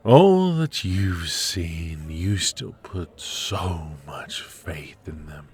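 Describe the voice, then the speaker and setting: A man playing a silky, mocking dark warlord in an intimate ASMR roleplay, with theatrical, taunting, drawn-out delivery. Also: low voice, animated, exaggerated voice. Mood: mocking, scornful. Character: dark male warlord villain, silky, mocking, menacing dream invader. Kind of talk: ASMR roleplay, intimate villain monologue addressed to the listener.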